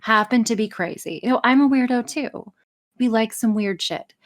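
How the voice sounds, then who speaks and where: A woman's voice: a little high, normal speed. A woman talking as a podcast guest in a casual interview, blunt and joking, with plenty of swearing. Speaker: podcast guest, audio writer and performer.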